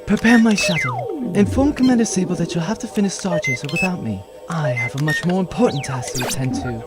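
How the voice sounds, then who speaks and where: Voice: mid-range voice, huge pitch swings, brisk. A performer playing a commanding, vengeful lady starship captain with an authoritative, dramatic manner in a sci-fi audio drama. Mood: grim resolve. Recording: loud music behind the voice.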